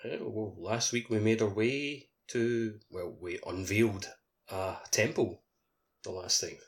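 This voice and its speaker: A man's voice: lowish voice, unhurried. A man co-hosting a podcast, chatting casually about a video game. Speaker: podcast co-host.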